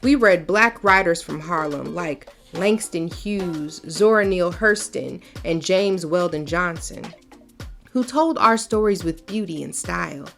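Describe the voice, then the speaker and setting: A woman reading a picture book aloud for children in an expressive, folksy storyteller's way, then talking to her young viewers. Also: fairly low pitch, a little slow, vocal fry, soft background music.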